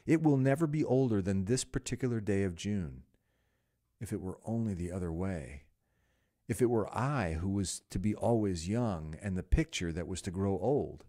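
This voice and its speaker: A man's voice: deep voice, a little mellow. A man voicing an anguished young man, vain and afraid of growing old, who pleads melodramatically. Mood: despairing.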